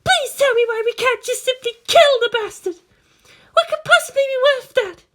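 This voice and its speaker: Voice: very high voice, moderate pace, a bit bright, pitched above the performer's own voice. A narrator voicing an anguished young woman, fierce and pleading, in an emotional audiobook-style story reading. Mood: furious.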